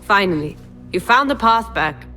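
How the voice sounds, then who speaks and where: An actress playing a stern, composed mentor in a secret order, formal and measured, in a video game. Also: female voice, medium pitch, expressive, pushed and bright. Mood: composed, cautioning. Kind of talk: video game cutscene acting. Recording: music behind the voice.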